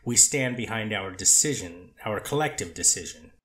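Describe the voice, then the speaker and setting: A man, a treatment counselor, testifying as a witness in a courtroom, measured and guarded, speaking in institutional terms. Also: lowish voice, animated, fairly bright tone. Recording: echoey courtroom audio.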